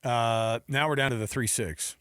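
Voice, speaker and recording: A man's voice: mid-range voice, normal speed, fairly bright tone, clear, resonant tone, no room sound, studio quality. An American man hosting casual sports chat, prompting his co-host with questions and short reactions. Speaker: sports talk show host.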